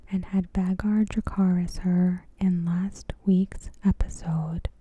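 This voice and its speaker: A woman doing ASMR commentary in a breathy, hushed whisper, measured and deliberate, close to the microphone. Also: fairly low pitch, no room sound. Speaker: ASMR creator reviewing a TV episode. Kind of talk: ASMR, soft scripted commentary. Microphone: close microphone in a dry space.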